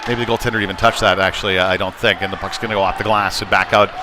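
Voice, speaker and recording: A man giving live ice hockey play-by-play in short clipped calls with hesitant pauses. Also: deep voice, fairly even intonation. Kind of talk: live sports commentary. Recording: clear background noise, live rink ambience. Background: ambient sports venue noise.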